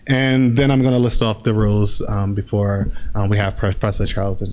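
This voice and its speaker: A young man, a graduate fellow, addressing incoming students informally, with many halting filler sounds. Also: lowish voice, flat delivery, darkish tone. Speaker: graduate fellow leading a session.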